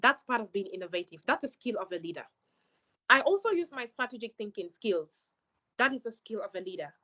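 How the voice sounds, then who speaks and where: A woman's voice: medium pitch, sing-song, a little fast, sharp tone, highs cut off. Earnest Gambian woman giving advice. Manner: earnest, direct, repeats words for emphasis. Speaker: scholarship mentor. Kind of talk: advice talk to applicants.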